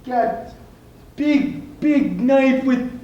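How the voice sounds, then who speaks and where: A helpful but clumsy butcher, acted by a man in a stage comedy, casual and easily flustered. The voice sits very high and moves slowly and haltingly, in an echoey room with faint noise. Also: animated, mellow, lo-fi sound, full character voice. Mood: bumbling. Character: bumbling, helpful butcher with knives, easily frustrated. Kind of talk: improvised comedy sketch on stage. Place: live stage before an audience.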